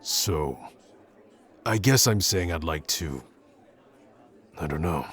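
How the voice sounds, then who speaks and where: A man playing a gruff, awkward young tsundere prince who speaks haltingly and grudgingly in an intimate roleplay audio addressed to the listener. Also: deep voice, lively intonation, fairly bright tone, heavily acted. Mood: shy, reassuring. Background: background music.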